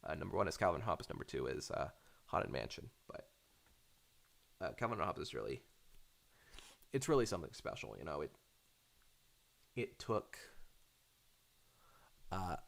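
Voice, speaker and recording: A man chatting casually in a halting, hesitant way, rambling off on tangents. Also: low-pitched, creaky, a bit bright. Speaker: fandub creator talking off the cuff.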